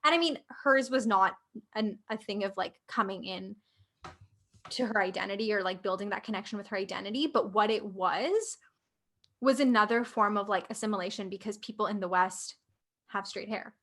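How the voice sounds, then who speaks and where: A woman's voice: mid-range voice, moderate pace, a bit bright. A young woman with a Canadian accent, co-hosting a podcast and talking things through with many fillers. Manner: thoughtful, filler-heavy, many "like"s. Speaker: podcast co-host. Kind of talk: casual conversation.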